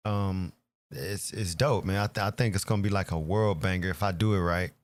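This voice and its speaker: A man's voice: low-pitched, a little fast. A man, a guest rapper, talking casually about his upcoming music in easygoing, hesitant phrases. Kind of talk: casual chat.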